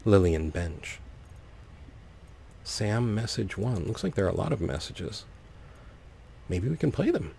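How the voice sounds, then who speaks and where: A man giving casual let's play commentary, thinking aloud in an unhurried, playful way. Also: lowish voice, very animated, creaky, dark tone, slight background noise. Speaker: gaming video host.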